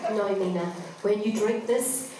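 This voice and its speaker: A woman's voice: mid-range voice, steady tone, unhurried, dark tone, natural voice. A woman acting an earnest young storybook hero in an amateur stage pantomime, with declamatory delivery. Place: theatre or hall stage. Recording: echoey hall, distant noisy microphone.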